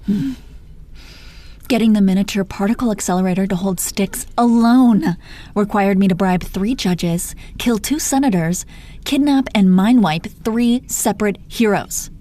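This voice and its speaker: A woman's voice: mid-range voice, measured pace, dark tone, natural voice. A woman playing a gloating, menacing female supervillain in a roleplay audio, condescending, sardonic and exasperated, with heavy swearing. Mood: exasperated boasting. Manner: taunting, condescending pet names, heavy swearing. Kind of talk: dramatic roleplay audio monologue.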